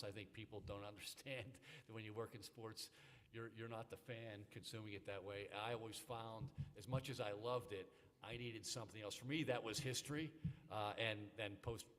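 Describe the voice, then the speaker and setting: A man with a mid-range voice speaks thoughtfully on a panel. His words land with a reflective tone. A long hall reverb trails his voice. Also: mid-range voice. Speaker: panelist, author. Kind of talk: panel discussion. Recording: hall reverb from a lecture room.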